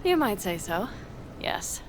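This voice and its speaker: An actress playing a hot-tempered young nomad mercenary in a video game, blunt, sarcastic and quick to swear. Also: female voice, mid-range voice, sing-song, background noise. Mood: wry, guarded. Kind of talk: cinematic video game voice acting.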